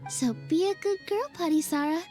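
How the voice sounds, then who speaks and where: A woman playing a sweet, gentle and graceful storybook fairy in a video game. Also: very high-pitched, fairly quick, faint music behind. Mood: gentle, reassuring. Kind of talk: animated game character acting.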